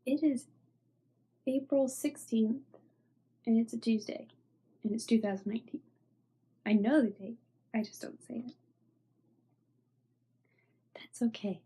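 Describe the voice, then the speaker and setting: Stuffy-voiced woman chatting softly about knitting. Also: a little high, fairly even intonation, a little slow, pauses often, breathy edge. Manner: rambling, filler-heavy, trailing off into soft near-whispers. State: stuffy, congested. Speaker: knitting podcast host. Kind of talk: casual show-and-tell chat.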